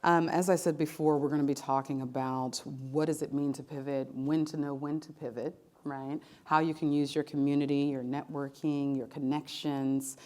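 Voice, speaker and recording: Low-pitched woman host, upbeat moderator of a panel. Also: level tone, normal speed, few pauses, darkish tone.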